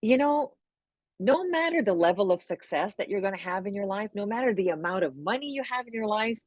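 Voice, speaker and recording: Animated woman, French native, dry sound. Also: mid-range voice, fairly quick, dry recording, lo-fi sound. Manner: animated storyteller, acts out her own past voices. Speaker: guest. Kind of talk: heartfelt conversational interview.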